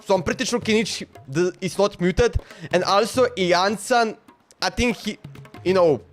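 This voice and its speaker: High-voiced young Slovenian man livestreaming. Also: moderate pace, soft background music. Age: 20s. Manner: rambling, exclamatory. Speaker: game livestreamer. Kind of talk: casual livestream commentary.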